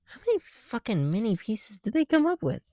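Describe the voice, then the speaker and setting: A man talking casually and informally to viewers in a soft, mellow voice, in the manner of a homemade ASMR video. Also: high voice, wildly swooping pitch, fairly quick, dry recording, studio quality. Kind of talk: casual ASMR chat while building bricks. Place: small room at home, on the floor.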